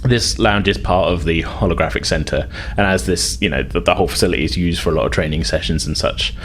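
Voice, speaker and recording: Low-pitched male game master. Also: fast, a bit bright, slight background noise. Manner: hesitant, informal, descriptive. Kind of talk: improvised tabletop game narration.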